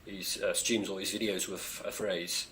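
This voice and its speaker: A lowish-voiced South African man whose first language is Afrikaans rambles through a casual live stream. He leans on "uh" and "um" and keeps coaxing his viewers. A faint hum of noise sits under the recording. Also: flat delivery, unhurried, a bit bright. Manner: rambling, many fillers, eager pleas to viewers. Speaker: space channel streamer. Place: home near a street.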